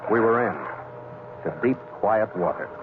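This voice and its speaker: A man's voice: on the low side, measured pace, heavy background noise, lightly acted. A man acting as a ship's skipper who narrates his log in a 1940s radio adventure. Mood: measured, suspenseful. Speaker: lead actor and narrator. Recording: tinny 1940s radio recording with music.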